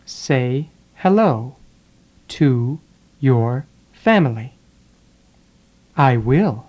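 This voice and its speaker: Super slow english drill voice, says each word on its own with gaps, mid pitch. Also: expressive, darkish tone, lo-fi sound. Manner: deliberate, pausing after each word. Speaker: English teacher.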